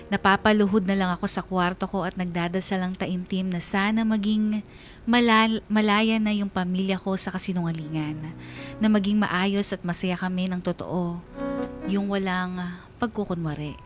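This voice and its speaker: A woman's voice: mid-range voice, fairly even intonation, moderate pace, close to an everyday voice. A woman playing a sorrowful, earnest young letter writer with a Filipino accent, narrating a radio drama in the first person. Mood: pained. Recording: music bed behind the voice.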